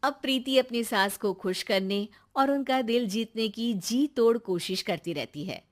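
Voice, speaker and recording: Woman storyteller reading a scripted family tale, kinda high voice. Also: measured pace. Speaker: story narrator. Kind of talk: scripted Hindi moral story narration.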